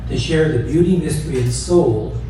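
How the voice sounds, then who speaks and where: A man's voice: on the high side, moderate pace, mellow. A man in his seventies reading a prepared tribute speech aloud to a gathering. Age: 70s. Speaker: eldest son giving a tribute speech. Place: large church room at a celebration. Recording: very echoey church hall, distant mic, noisy.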